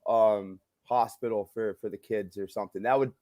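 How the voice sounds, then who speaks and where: Easygoing guy cohosting, dry close sound, jokey and halting. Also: fairly low pitch, steady tone, dark tone, no room sound. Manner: joking, loose, halting with fillers. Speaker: co-host of a car audio livestream. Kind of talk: casual livestream chat.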